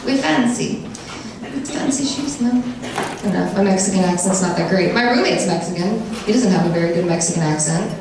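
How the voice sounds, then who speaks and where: A woman's voice: medium pitch, animated, normal speed. A young woman doing a stand-up set in a dry, self-mocking style on a comedy club stage. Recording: echoey room from far off, crowd noise.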